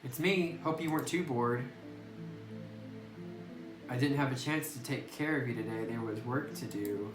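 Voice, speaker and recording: A man reading the lines of a lonely, reclusive butterfly collector, quiet and subdued. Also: medium pitch, normal speed, pauses often, natural voice. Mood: wistful. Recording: roomy distant phone recording with music.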